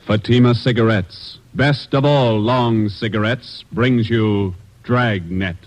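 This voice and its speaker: Formal low-voiced radio announcer. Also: male voice, fairly even intonation, slow. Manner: formal, declamatory. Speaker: show announcer. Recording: old radio recording under loud music.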